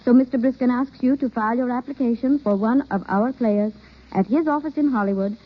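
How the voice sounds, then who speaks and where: A woman reading a formal, deliberate scripted announcement in the style of 1930s radio. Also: on the high side. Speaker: guest announcer. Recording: dull, lo-fi old radio broadcast.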